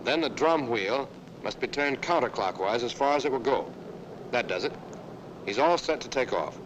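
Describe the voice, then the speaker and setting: A man giving scripted instructional narration for a military training film, talking to the viewer directly as "you" in a brisk way. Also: mid-range voice, dull audio, background noise.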